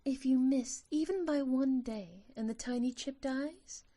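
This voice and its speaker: Woman doing a little fairy creature for an audio drama, soft mellow highish voice, earnest n tender, talks formal. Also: measured pace. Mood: grave, pleading. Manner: formal, solemn phrasing. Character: tiny delicate winged female sprite, earnest and tender.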